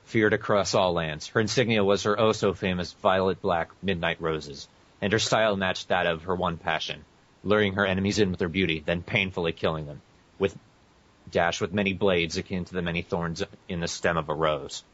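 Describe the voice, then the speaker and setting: A man's voice: low voice, steady tone, moderate pace, a bit bright. A man co-hosting a casual podcast, chatting with the others and sometimes reading aloud. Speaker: podcast co-host. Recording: muffled lo-fi internet podcast audio.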